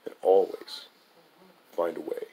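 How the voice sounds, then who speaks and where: A man with a gravelly voice, an author reciting his own writing and addressing his listeners. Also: takes it slowly, mellow, poor audio. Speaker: author reading own book.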